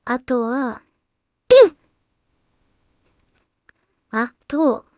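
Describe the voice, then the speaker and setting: A woman with a slightly high voice talks slowly in a close, dry recording. She thinks aloud casually as she works, murmuring to herself in a hesitant way and adding polite asides for whoever is watching. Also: big pitch swings, a little mellow, no room sound. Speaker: solo animation creator and streamer.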